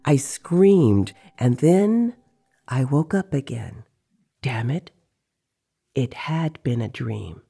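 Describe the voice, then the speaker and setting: A narrator voicing an excitable male sorcerer who recounts a strange dream in a dramatic, earthy way. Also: mid-range voice, takes it slowly, creaky, pauses often, breathy edge, exaggerated voice. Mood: uneasy, vivid. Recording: faint music behind.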